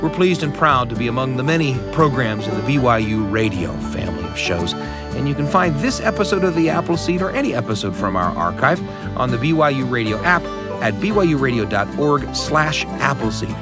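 A man's voice: medium pitch, very animated, a little fast. Male host: upbeat storytelling show outro over music. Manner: upbeat, chuckling, lilting delivery. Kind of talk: warm scripted host outro.

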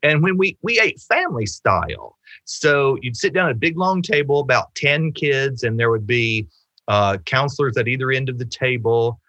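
A middle-aged man from the southern United States on a video call from his home office, telling anecdotes with expressive, deliberate emphasis. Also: mid-range voice, dry recording. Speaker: storyteller, interview guest. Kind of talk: anecdotal storytelling in conversation. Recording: video call with music behind.

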